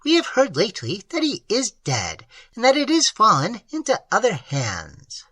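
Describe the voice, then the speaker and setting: A man's voice: high-pitched, huge pitch swings, moderate pace, pushed and bright, no echo, voice pushed up, exaggerated voice. A reader voicing an elderly, widowed, superstitious village man who speaks earnestly in old-fashioned phrasing. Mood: earnest, uneasy. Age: older. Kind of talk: audiobook character reading.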